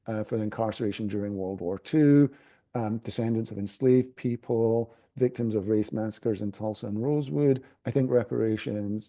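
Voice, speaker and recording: A middle-aged Scottish man talks through a panel answer in a gravelly, very dark voice. He pauses constantly, filling gaps with ums and restarting phrases as he thinks. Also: mid-range voice. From Scotland. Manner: halting, many fillers and restarts. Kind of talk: academic panel talk.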